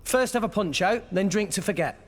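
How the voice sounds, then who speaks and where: A man's voice: high-pitched, animated, brisk. An actor playing a blunt, earnest teenage salvager boy. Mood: determined. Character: brash young male salvager hero, teenage.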